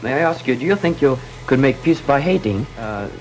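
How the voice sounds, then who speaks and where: A teenage boy makes his case in a student debate. He talks earnestly, often pausing on fillers. His voice sits at an ordinary middle pitch. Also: expressive, soft background music, low-quality recording. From Norway. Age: teens. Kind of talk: panel debate.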